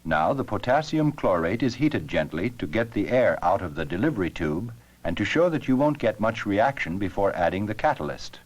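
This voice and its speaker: A man narrating an educational science film with scripted, measured, explanatory delivery. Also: fairly low pitch, animated.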